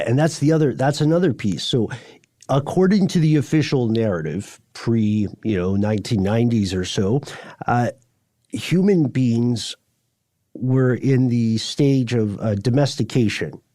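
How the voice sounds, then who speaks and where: A man co-hosting a podcast, explaining things conversationally in measured, wordy sentences with playful asides. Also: fairly low pitch, dark tone, no echo, studio quality. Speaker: podcast co-host.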